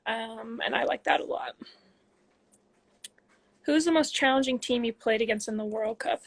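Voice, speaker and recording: A young woman in her twenties talks into her phone from a room at home, reading out questions from viewers and answering them one by one. Her voice sits a little on the high side, and the talk is loose and chatty, full of ums. Now and then she drops into a quiet murmur as she reads the next comment. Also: moderate pace. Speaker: athlete hosting a live Q&A. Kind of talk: casual live-stream chat answering viewer questions.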